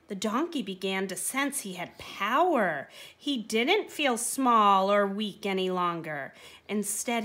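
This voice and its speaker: Slow, bright woman reading a storybook. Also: on the high side. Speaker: storybook reader. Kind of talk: expressive read-aloud of rhyming children's picture book.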